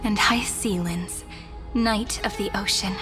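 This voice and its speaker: An actress playing a calm, formal female chronicler in a game. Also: medium pitch, lightly acted. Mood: solemn. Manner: slow, solemn and formal. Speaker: game character. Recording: game cutscene with music underneath.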